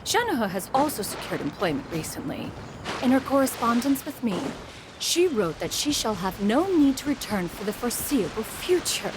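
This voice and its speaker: A woman's voice: on the high side, sing-song, normal speed, background noise. A woman playing a proud immortal bird spirit in human form in a video game, speaking in a stately, formal, imperious manner. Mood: wistful. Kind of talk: animated game voice acting. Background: music.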